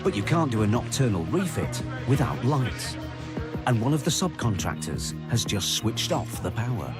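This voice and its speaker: A man delivers scripted documentary narration with a lively, animated rise and fall at a middle pitch. Loud music plays underneath him throughout. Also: moderate pace.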